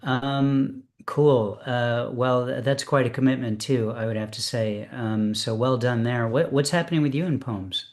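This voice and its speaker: A man's voice: medium pitch, steady tone, a little slow, hardly any pauses. A man hosting an online poetry reading over a video call, chatting casually with many fillers and hesitations. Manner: hesitant, lots of fillers, friendly. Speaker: host of open poetry reading.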